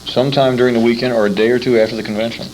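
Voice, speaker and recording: Male American interviewer, mid-pitched and conversational, recorded on a faintly noisy amateur tape. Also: steady tone, very fast, a crowd in the background. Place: radio convention, live interview.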